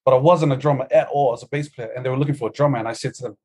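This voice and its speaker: A man's voice: mid-range voice, fairly even intonation, rapid-fire, a little mellow, slightly rough. Laid-back New man telling his story. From New Zealand. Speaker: musician guest. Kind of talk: casual interview chat. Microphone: video call.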